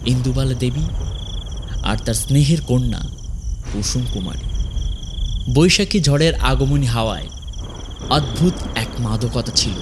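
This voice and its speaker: A man narrating a scripted horror story dramatically, in measured, suspenseful phrases with pauses. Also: mid-range voice, noisy background.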